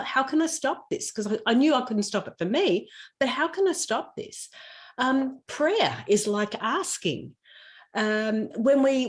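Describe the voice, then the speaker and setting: Chatty Australian woman, earnest and conversational. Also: medium pitch. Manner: chatty and earnest, explains with everyday examples. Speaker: podcast guest, intuition teacher and digital marketer. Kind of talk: conversational interview answers.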